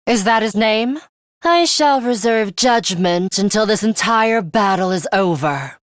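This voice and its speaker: A woman's voice: medium pitch, animated, a little slow, dry recording, clean studio sound, close to an everyday voice. An actress playing a stern, composed young woman fighter in an English video game, blunt and guarded, with clipped, formal, matter-of-fact delivery. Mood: commanding, terse.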